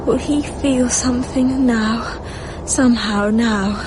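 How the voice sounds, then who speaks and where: A woman's voice: fairly even intonation, takes it slowly. An actress playing a sinister, mocking woman who gloats over the dying, in a radio drama. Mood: cold, taunting. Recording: clear ambient rumble behind voice.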